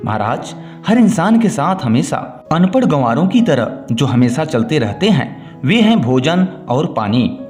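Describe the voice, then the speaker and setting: A man's voice: a little high, sing-song, measured pace, darkish tone. A man voicing a clever, dutiful young daughter-in-law in a folk tale, explaining herself politely and with assurance. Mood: confident, explaining. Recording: music bed under the voice.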